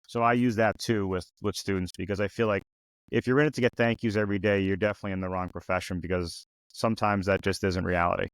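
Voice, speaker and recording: A man in his early fifties hosts a laid-back podcast conversation. His voice sits low, and his talk meanders with restarts and "you know." The recording is dry and close, with no room sound. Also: fast, no room sound, low-quality recording. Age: 50s. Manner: relaxed, rambling with frequent restarts and "you know". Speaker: podcast host. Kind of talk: casual conversational interview.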